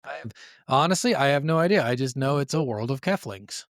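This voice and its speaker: A man's voice: medium pitch, lively intonation, brisk, no echo, studio quality. A man co-hosting a gaming podcast, chatting informally and rambling as he thinks aloud, with some swearing. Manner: profane, informal slangy talk. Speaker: podcast co-host. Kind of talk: casual gaming podcast chat.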